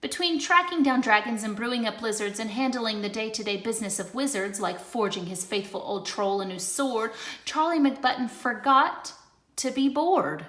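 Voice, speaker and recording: Expressive woman reading a rhyming storybook aloud. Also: on the high side, normal speed. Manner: expressive, shifts between loud and hushed. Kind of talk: rhythmic picture-book read-aloud. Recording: roomy echo.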